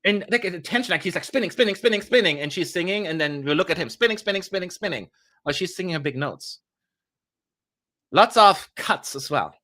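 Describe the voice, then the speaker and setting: Lively chatty man hosting a livestream. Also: high voice. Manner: chatty, animated, quick asides and playful jokes. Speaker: livestream host. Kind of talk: casual live commentary.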